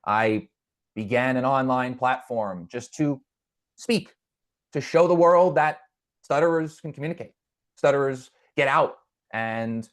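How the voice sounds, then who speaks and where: A man guest in an interview, speaking earnestly and reflectively, with a stutter that brings repeated words and restarts. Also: mid-range voice. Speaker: podcast guest. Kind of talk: conversational interview.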